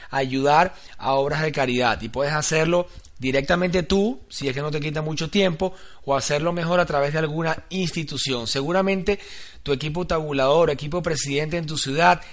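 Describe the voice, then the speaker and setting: A man's voice: a little high, a bit bright. A man narrating a scripted sales training audio in an earnest, instructive way. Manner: earnest, instructive, building to an eager introduction. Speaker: training host.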